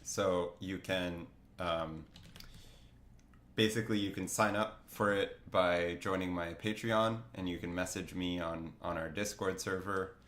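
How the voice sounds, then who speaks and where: Low male voice, sniffly from a cold, loose rambling livestream talk, roomy faint-noise recording. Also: fairly even intonation, unhurried, fairly bright tone. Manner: rambling, many fillers and restarts. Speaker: artist streaming a drawing session. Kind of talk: casual livestream chat while drawing.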